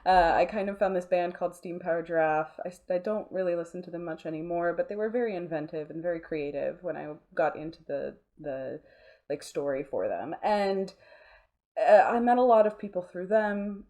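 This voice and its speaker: A woman with a soft-edged, mellow voice that sits a bit lower than most. She speaks as a guest in a casual interview, in an informal, rambling and self-deprecating manner. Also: normal speed. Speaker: interview guest, audio drama producer.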